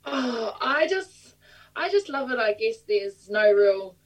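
A woman's voice: on the high side, animated, takes it slowly, distant mic, low-quality recording. A young woman with a New Zealand accent, a rugby player chatting in an upbeat, easygoing way in an interview. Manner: upbeat and laughing easily. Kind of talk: remote interview.

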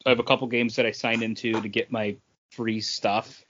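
A man co-hosting a casual gaming chat, talking informally and a bit haltingly in loose, wandering sentences. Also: on the low side, flat delivery, fairly bright tone, dull audio, a little hiss or hum. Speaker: podcast co-host.

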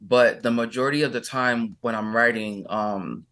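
Bright-voiced young man chatting loosely. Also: medium pitch, fairly even intonation, normal speed. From California. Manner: rambling, many fillers and "you know"s, checks he makes sense. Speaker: guest singer-songwriter. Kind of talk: casual interview chat.

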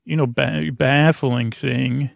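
A man hosting a bedtime podcast in a meandering, halting monologue, often trailing off mid-thought, with a creaky, gravelly voice. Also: mid-range voice, fairly even intonation, no room sound, clean studio sound. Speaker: podcast host. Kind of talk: rambling improvised bedtime monologue.

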